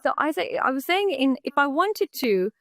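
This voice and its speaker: A woman's voice: high voice, sing-song, moderate pace, dry recording. A woman speaking as an interviewee on a call, hesitant and full of fillers and restarts.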